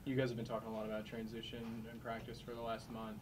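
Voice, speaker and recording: A man's voice: fairly low pitch, monotone, fast talker, slight background noise, rough recording. A man reporter asking a player questions at a post-game press conference, rambling and restarting his questions. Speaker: sports reporter.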